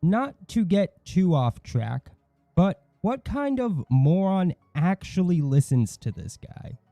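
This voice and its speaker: A man's voice: high voice, big pitch swings, slow, mellow, no echo, soft background music. A man hosting an online channel, reading scripted commentary in a snide, mocking manner. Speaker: YouTube channel host.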